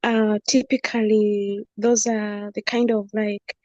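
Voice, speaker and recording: A woman's voice: mid-range voice, little pitch movement, takes it slowly, darkish tone, dry recording, lo-fi sound. A woman giving her opinion in a casual discussion, tentative and searching for words. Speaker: book club participant.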